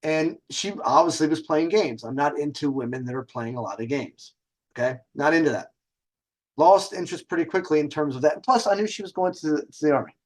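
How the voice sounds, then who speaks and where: A man's voice: fairly high pitch, brisk. A man hosting a dating advice show, telling stories casually in a brash, emphatic way, drawing out stressed words and throwing in rhetorical questions. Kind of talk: casual storytelling monologue with advice to viewers.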